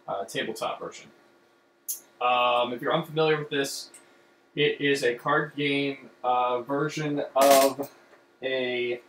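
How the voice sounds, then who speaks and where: A man's voice: medium pitch, a bit bright. Rambling man in an echoey room. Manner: rambling, many fillers and self-corrections. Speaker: streamer. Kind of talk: casual explanatory chat. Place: room at home. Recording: echoey room with faint background hum.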